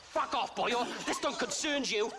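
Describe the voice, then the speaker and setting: An actor playing a bullying, sneering Welsh outlaw with a sing-song voice in a video game. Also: male voice, extremely high pitch, lively intonation, measured pace, background noise. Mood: sneering, hostile. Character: bullying, foul-mouthed Welsh outlaw thug. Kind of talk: video game cutscene acting.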